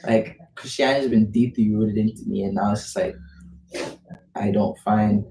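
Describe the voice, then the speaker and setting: A young man in his late teens from the Bahamas speaks in a fairly low voice. He talks casually and searchingly, feeling his way through his thoughts, recorded at a distance in an echoey space with faint noise. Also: fairly even intonation, normal speed, mellow. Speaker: young man exploring religion. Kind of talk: casual religious discussion.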